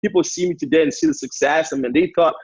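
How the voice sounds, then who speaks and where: A man in his twenties with a Haitian accent, giving an energetic motivational talk as a guest speaker on a sales training call. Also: on the high side, quick pace, slightly rough, dry recording, dull audio. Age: 20s. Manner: hyped up, energetic, repetitive.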